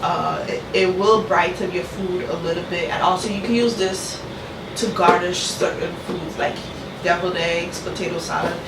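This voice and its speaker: A woman hosts a casual cooking vlog, her voice stuffy and nasal from a cold. She keeps checking in with her viewers with "you guys" and "okay". She is recorded from a distance in an echoey kitchen, with a clear hum of room noise behind her. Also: on the low side, fairly even intonation, moderate pace. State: congested from a cold, talking through her nose. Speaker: cooking channel host. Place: home kitchen.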